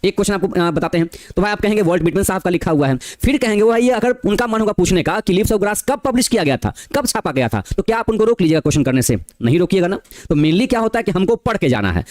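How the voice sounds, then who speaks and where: High-voiced tutor. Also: male voice, a little mellow, no room sound, clean studio sound. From Bihar, India. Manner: explains poem lines in Hindi, reading English verse slowly; addresses students as "bachche", asks rhetorical questions. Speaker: teacher. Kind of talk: exam-prep class lecture.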